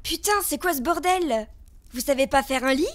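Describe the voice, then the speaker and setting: A woman voicing a bedraggled young girl who speaks in a deadpan, blunt way in a cartoon. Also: high-pitched, normal speed.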